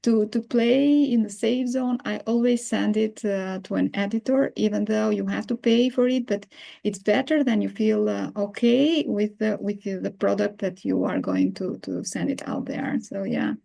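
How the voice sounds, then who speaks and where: A woman's voice: medium pitch, moderate pace, creaky, mellow. A woman podcast host whose first language is not English, chatting casually and hesitating as she searches for words. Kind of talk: casual interview chat.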